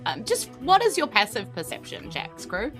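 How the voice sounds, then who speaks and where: A woman's voice: on the high side, huge pitch swings, moderate pace, fairly bright tone, faint music behind. A woman with a New Zealand accent narrating a tabletop roleplay game as game master in a chatty, informal way.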